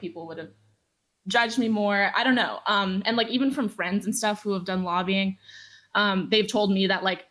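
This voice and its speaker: A woman's voice: medium pitch, a bit bright. An eighteen-year-old woman hosts a podcast in a casual, earnest way. She fills her talk with "um" and "like" as she tells her story. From Los Angeles. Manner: earnest, many fillers like "um" and "like". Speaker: podcast host, youth organizer.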